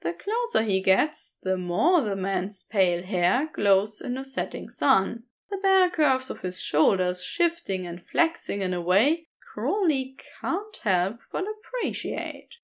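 A woman narrating a scripted story in an expressive, measured storytelling manner. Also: on the high side, creaky. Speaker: podfic narrator.

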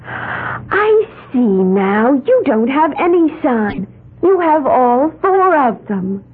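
A woman's voice: high-pitched, big pitch swings, slow, husky, narrow, tinny audio, heavily acted. An actress playing a dreamy young woman with odd beliefs who babbles in a wide-eyed, sing-song way, in a 1970s radio drama. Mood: earnest, dreamy. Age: young adult. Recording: band-limited old radio broadcast.

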